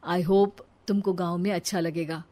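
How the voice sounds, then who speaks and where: A woman's voice: fairly low pitch, fairly even intonation, moderate pace, dark tone, natural voice. Cartoon young village husband played by a woman: practical, persuasive, reasoning. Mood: calm, explaining. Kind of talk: cartoon story acting.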